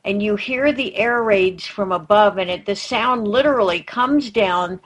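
Very slow-speaking woman, deliberate and conversational. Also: mid-range voice, fairly bright tone, breathy edge. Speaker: book club participant. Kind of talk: casual group book discussion.

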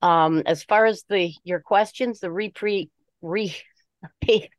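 A woman giving her opinions in an informal book discussion, speaking haltingly and deliberately as she searches for words. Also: medium pitch, no echo. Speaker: book group member.

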